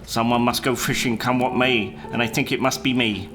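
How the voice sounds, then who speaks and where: A man voicing a courteous, selfless old fisherman in a storybook, with a gentle, earnest and resolute manner. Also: medium pitch, fairly even intonation, normal speed, music in the background, natural voice. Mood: solemn resolve. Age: older.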